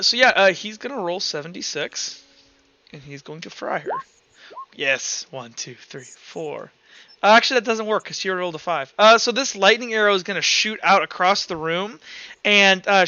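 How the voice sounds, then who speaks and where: Bright, higher-voiced man running a game. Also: creaky, muffled recording. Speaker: game master. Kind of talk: improvised tabletop game narration, casual.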